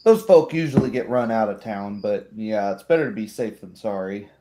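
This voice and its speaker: A man's voice: fairly low pitch, moderate pace, background noise, natural voice. A man voicing a wry, kindly woman barkeep in a tabletop game, talking in a folksy, easygoing way.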